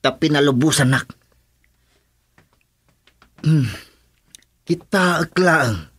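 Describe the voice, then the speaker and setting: Guy doing a nervous henchman, kinda high voice, radio play. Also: slow, a bit bright, husky, heavily acted. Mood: defensive. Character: wary male henchman taking orders. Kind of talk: radio drama acting.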